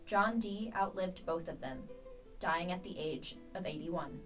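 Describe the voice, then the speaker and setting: A woman's voice: lowish voice, animated, unhurried. A woman reading scripted, factual narration as the narrator of an epilogue and credits. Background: plucked guitar music.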